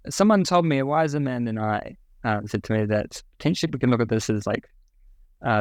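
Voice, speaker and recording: A man's voice: medium pitch, no echo. A man from New Zealand with a New Zealand accent, a podcast guest answering questions casually, with many fillers and restarts.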